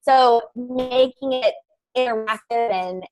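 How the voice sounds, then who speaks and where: A woman talking casually and excitedly about podcasting, laughing often and running sentences together. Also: a little high, soft background music, rough recording. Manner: bubbly, laughs often, fast run-on sentences. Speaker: podcaster guest. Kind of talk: casual panel chat.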